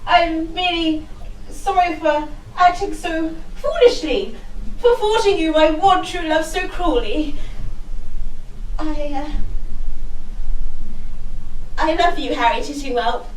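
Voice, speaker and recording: An actress playing a desperate young heroine in a comic costume drama, falsely sweet, hesitant and melodramatic. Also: female voice, high-pitched, distant mic. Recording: echoey room, off the mic.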